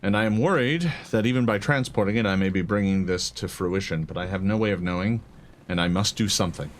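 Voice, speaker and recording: A man voicing a stern, worried woman in a tabletop game, speaking formally and gravely. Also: on the low side, slight background noise, lightly acted. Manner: formal, grave, measured wording. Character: stern, worried woman entrusted with a dangerous deck.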